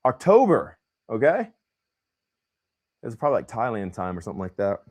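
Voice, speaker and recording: Young male host, loose slangy livestream banter, lively pitch swings. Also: moderate pace. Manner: animated, slangy, says bro and man a lot. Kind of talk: casual livestream chat.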